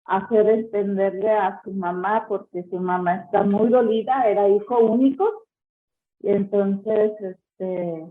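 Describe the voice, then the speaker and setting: A Mexican woman, a guest medium, recounting a personal experience slowly and haltingly, with many fillers. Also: medium pitch, fairly even intonation. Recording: tinny phone-like call line.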